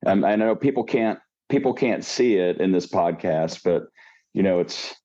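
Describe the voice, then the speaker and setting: A man's voice: low voice, expressive, warm tone. A man from Ohio, a podcast guest, chatting in a friendly, teasing way over a video call. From Ohio, United States. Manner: friendly, teasing, quick to joke. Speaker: guest, education consultant. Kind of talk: casual chat. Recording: dull lo-fi call audio.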